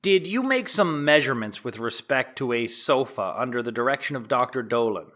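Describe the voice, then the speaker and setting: Man playing a courteous bright-voiced courtroom prosecutor. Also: mid-range voice, expressive, fairly quick. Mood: formal, probing. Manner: formal, polite, precise questioning. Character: courteous, methodical 1890s prosecuting lawyer examining a witness. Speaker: actor in an audio drama reading. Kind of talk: dramatic reading of a trial transcript.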